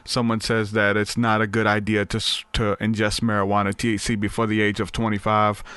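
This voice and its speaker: A man hosting a podcast interview, asking questions in a casual, halting way with many fillers. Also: lowish voice, completely flat delivery, a bit bright, smooth, no echo. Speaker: podcast host.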